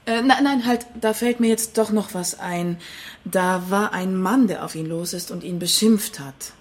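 An actress playing an anxious, evasive middle-aged wife, speaking hesitantly in a crime radio drama. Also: female voice, mid-range voice, close to an everyday voice. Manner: hesitant, halting.

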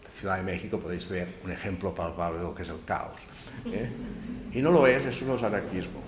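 A man's voice: sits low, a little fast. A man giving a lecture at a library event, emphatic and dotted with tag questions. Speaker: speaker giving a talk. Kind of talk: public lecture. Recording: very echoey room, faint background noise.

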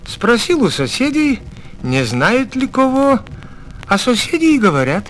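A man reading a folk fairy tale as an expressive storyteller. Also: very high voice, measured pace, slight background noise.

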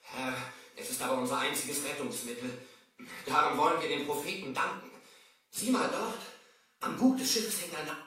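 An echo-laden old radio play recording of a man acting a faithful, anxious servant. His voice is fairly high and quite bright and forceful, and he speaks with earnest, excitable deference to his master. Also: moderate pace, lightly acted. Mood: alarmed. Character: loyal, anxious male servant of a shipwrecked master. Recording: echoing, slightly distant 1970 vinyl radio play.

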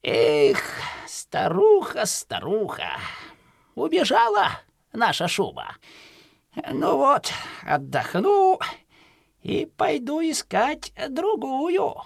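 Drawling old peasant grandpa, wildly swooping voice. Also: male voice, extremely high pitch, huge pitch swings, vocal fry, no room sound, clean studio sound, exaggerated voice. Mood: grumbling. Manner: folksy, drawn-out exclamations, muttering to himself. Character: stooped old peasant hunter, grumbling but kindly grandfather. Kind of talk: children's audio play acting.

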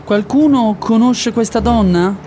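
A woman playing a calm police commissioner who questions witnesses in a scripted lesson dialogue. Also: on the high side, moderate pace, faint music behind, natural voice. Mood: formal.

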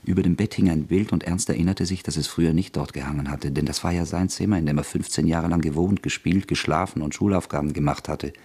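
A male narrator of a classic radio play, delivering a measured, even reading. His low voice has a husky, gravelly texture.

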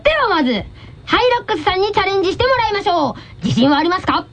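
A woman's voice: very high-pitched, expressive, racing. A woman hosting a radio game segment, presenting and explaining it politely in an announcer's manner. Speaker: host of a radio show segment. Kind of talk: lively show presenting. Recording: dull lo-fi audio, faint music behind.

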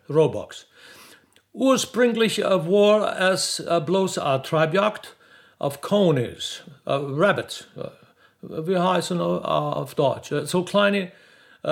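A man playing a pompous British envoy with an English accent, groping haltingly for German words in a radio play. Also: fairly high pitch, creaky, full character voice.

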